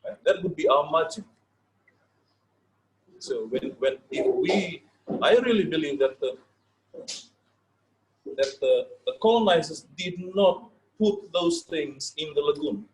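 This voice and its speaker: A man from Fiji with a Fijian accent, a native Fijian speaker, giving an informal lecture. He pauses often, repeats words and checks in with his listeners. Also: on the high side, animated, a little hiss or hum, low-quality recording. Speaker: session leader.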